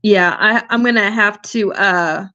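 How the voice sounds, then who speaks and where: Woman hosting a stream, chatty and rambly, voice going a bit hoarse, dry lo-fi sound. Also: mid-range voice, unhurried, pushed and bright, no echo. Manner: chatty, many fillers and restarts. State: losing her voice. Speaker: livestream host. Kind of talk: casual livestream chat.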